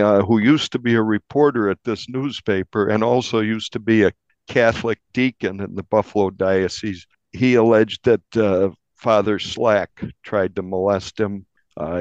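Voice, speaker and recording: A man speaking as an interviewed newspaper reporter, explaining a crime story in a measured, halting way with repeated phrases. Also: on the low side, dry recording, dull audio.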